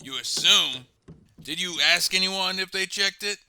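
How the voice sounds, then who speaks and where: A man's voice: sits high, measured pace, sharp tone, slight background noise, full character voice. A man playing an anxious, foul-mouthed field worker who complains casually in naturalistic audio drama dialogue. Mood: uneasy, irritated.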